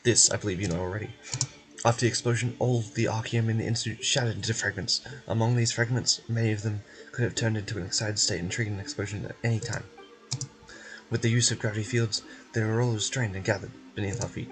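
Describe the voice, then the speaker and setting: A man voicing a guarded, commanding researcher in a game, explaining things in a measured, formal way. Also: fairly low pitch, fairly even intonation, close to an everyday voice, low-quality recording. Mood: calm, explanatory.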